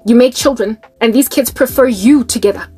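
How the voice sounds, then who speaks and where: South African woman preaching, high voice. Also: fairly quick, warm tone, husky, soft background music. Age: 30s. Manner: exhorting, rhetorical, addresses viewers as y'all. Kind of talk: preachy monologue to viewers.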